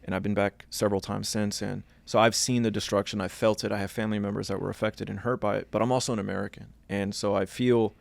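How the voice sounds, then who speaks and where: A man's voice: fairly low pitch, level tone, quick pace, gravelly, hardly any pauses, no room sound. A man from Iraq, the podcast host, who asks long, earnest, carefully built questions. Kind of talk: interview questions.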